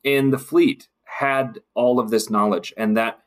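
A man speaking as a guest in a conversational podcast discussion, reflective and emphatic, with halting phrasing. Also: medium pitch, fairly even intonation. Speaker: guest, city council member.

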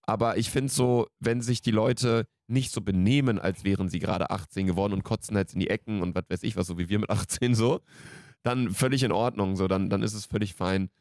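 A man's voice: lowish voice, fairly even intonation, brisk, darkish tone, no echo, studio quality. A man in his late twenties co-hosting a podcast in casual, slangy, jokey banter. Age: late 20s. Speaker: podcast co-host.

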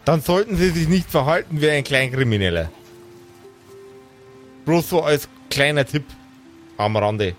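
Man playing stern security guard, slightly high-voiced. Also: lively intonation, a little slow, pauses often, soft background music. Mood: smug, reproving. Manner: formal, admonishing. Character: stern, officious male security guard at headquarters.

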